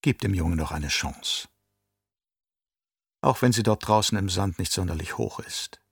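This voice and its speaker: A man voicing a seductive, calculating captive woman in an audiobook, soft and coaxing. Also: low voice, animated, measured pace, slightly rough, dry recording, studio quality, pitched below the performer's own voice, heavily acted.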